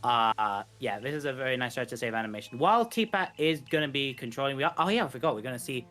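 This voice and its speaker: A man's voice: medium pitch, expressive, fast talker, fairly bright tone. A man giving live, explanatory speedrun commentary, rambling a little and rephrasing as he goes. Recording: game music behind the voice. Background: video game music.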